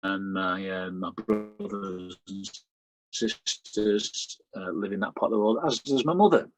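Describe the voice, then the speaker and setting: A man's voice: fairly low pitch, measured pace, faint music behind, low-quality recording. A middle-aged man with a Lancashire accent reading his poems aloud, with chatty asides and fillers between them. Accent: Lancashire English. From Lancashire, England. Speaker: poet. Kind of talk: poetry reading with spoken introductions.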